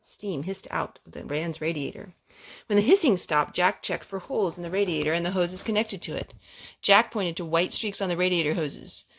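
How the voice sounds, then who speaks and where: A woman's voice: lowish voice, normal speed. A woman reading aloud to young children at a storytime, speaking simply with asides to the little ones. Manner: simple, child-directed talk.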